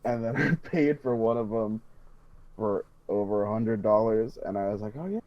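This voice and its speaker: Low-voiced man, dull dry recording. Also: normal speed, no echo. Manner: emphatic, profane, rambling enthusiasm. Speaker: podcast co-host. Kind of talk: casual enthusiast podcast chat.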